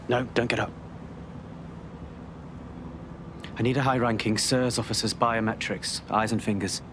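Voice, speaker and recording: An actor playing a weary, guarded intelligence agent, grave and clipped, in a dramatic video game cutscene. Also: male voice, medium pitch, steady tone, brisk, fairly bright tone, a little hiss or hum, lightly acted. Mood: urgent, grave.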